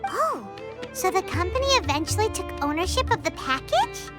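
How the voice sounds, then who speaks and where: A woman voicing a tiny, excitable, childlike fairy girl in an anime-style game, with a squeaky voice. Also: normal speed, music in the background. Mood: surprised, curious. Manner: childish, refers to herself by name.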